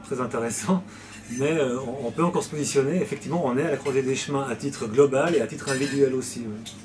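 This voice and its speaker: A man's voice: mid-range voice, fast talker, darkish tone, slight background noise. A man from Switzerland, a novelist answering interview questions in a hesitant way, with many fillers and restarts.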